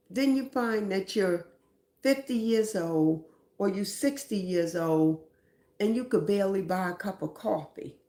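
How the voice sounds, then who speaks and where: A woman's voice: mid-range voice, darkish tone, roomy sound. A woman hosting a live stream, giving reflective motivational talk and often asking "right?".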